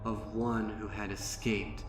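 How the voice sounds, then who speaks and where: A man's voice: on the low side, level tone, far from the mic. A man as a haunted, horrified storybook pony, echoing. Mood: haunted, grim. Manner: dramatic, halting, haunted delivery. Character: anguished, horrified pony recounting burning a possessed friend. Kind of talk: first-person horror monologue in fan fiction reading. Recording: echoey voice with music underneath. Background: music.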